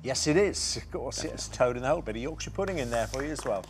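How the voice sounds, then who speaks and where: Middle-aged male quiz host, brisk and clear, studio setting with faint music under him. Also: mid-range voice, animated. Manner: brisk, reads questions clearly.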